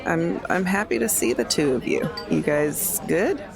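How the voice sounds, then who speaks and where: A woman's voice: sits low, very animated, unhurried, gravelly, over music. A woman playing a tabletop game, speaking casual in-character dialogue.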